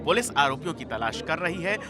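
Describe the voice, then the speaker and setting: A man's voice: on the high side, sing-song, a little fast, crowd noise. A man reading a scripted news report in an emphatic, dramatic manner. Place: voice-over recording with music behind.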